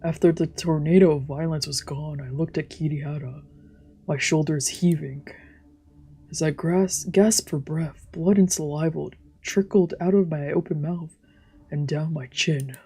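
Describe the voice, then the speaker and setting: A woman streamer with a breathy voice reading visual novel text aloud as dramatic narration. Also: low-pitched, steady tone, unhurried, mellow. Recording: game music behind the voice. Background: game music.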